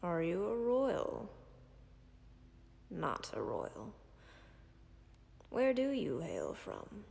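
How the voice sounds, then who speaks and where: Slow husky-whisper dragon lady, a woman doing her, gravelly and a bit low, dry and commanding, audio roleplay. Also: huge pitch swings, a little mellow, long reverb tail, heavily acted. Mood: calm. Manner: measured, formal, dryly commanding.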